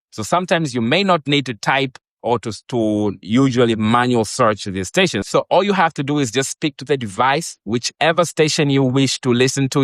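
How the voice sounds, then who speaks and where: A man's voice: medium pitch, fairly bright tone, dry recording. Man presenting a product, dry close sound. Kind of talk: product demonstration.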